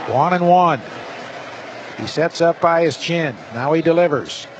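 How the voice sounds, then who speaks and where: A man works as a baseball play-by-play announcer, calling the game live. His voice sits a little high. Some outdoor ballpark noise runs faintly behind him. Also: measured pace. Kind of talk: live sports commentary. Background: outdoor stadium ambience.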